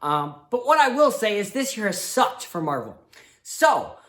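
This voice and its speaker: A man's voice: high-pitched, very animated, measured pace, a bit bright. A man hosting a casual movie review show, sick, sniffling and with his voice fading, talking in a rambling, heartfelt way. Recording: roomy echo.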